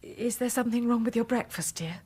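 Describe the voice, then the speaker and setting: An actress playing an anxious, secretive wife in a period radio drama, halting and breathless. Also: female voice, fairly high pitch, dark tone, husky. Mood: subdued, uneasy. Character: anxious, secretive wife pleading with her husband.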